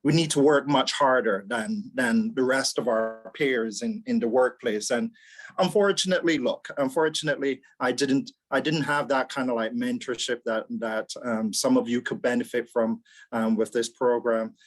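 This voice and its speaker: A man's voice: medium pitch, normal speed. A man, speaking as a mentor on a video call panel and often repeating words while he thinks. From Barbados. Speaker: mentor, actuary executive. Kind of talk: casual panel talk.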